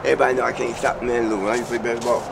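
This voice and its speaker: Gravelly guy chatting on the street, easygoing, laughing it off, cars going by. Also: medium pitch, level tone, very fast. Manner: laid-back, laughing, slangy, trailing off. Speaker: interviewee on the street. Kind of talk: casual street chat. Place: outdoors by a building and parking lot. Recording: outdoor street noise.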